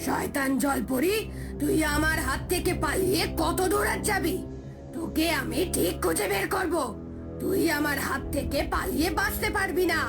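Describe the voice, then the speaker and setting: A woman's voice: high-pitched, moderate pace, vocal fry, music in the background. A woman voicing a wicked female demon in a fairy-tale cartoon, bullying and threatening in her manner. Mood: angry threatening. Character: wicked, menacing female demon hungry for eggs.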